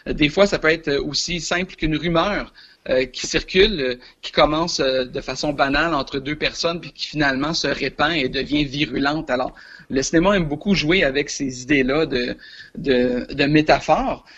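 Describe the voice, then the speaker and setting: A man's voice: on the high side, moderate pace, fairly bright tone, highs cut off. A man in his forties with a Quebec French accent, a film columnist chatting on the radio, hesitant with many filler sounds. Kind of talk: casual radio chat.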